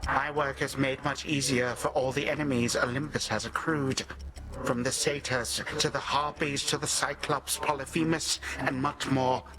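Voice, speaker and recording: A man's voice: medium pitch, steady tone, normal speed, lightly acted, rough recording. An actor playing an ancient titan tyrant in a video game, pompous and sardonic, speaking in formal archaic phrases with menacing grandfatherly condescension. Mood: haughty, sardonic. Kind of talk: theatrical video game villain acting. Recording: studio voice over game music.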